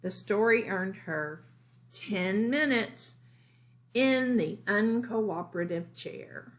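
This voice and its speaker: A woman's voice: medium pitch, animated, slow. A woman teacher reading a children's storybook aloud expressively, explaining things simply for young listeners.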